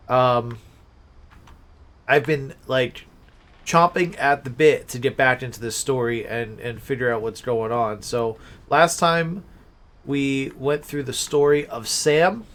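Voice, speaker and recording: A man doing casual livestream game commentary, musing aloud haltingly and breaking into exclamations. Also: medium pitch, slight background noise. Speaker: game streamer.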